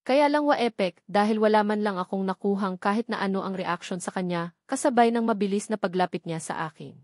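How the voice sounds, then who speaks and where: A Filipino woman reads a romance story as an audiobook narrator. Her voice sits at an average female pitch. The sound is dry and close, with very little room. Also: brisk, dry recording. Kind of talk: scripted first-person romance novel narration.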